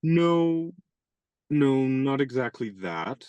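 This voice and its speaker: A woman's voice: rumbling low, expressive, drawn-out words, mellow, clean tone, dry recording, exaggerated voice. A woman acting an eager, awkward young man who speaks hesitantly in a radio play. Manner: hesitant, stammering starts. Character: eager, awkward young man, shy would-be suitor and writer.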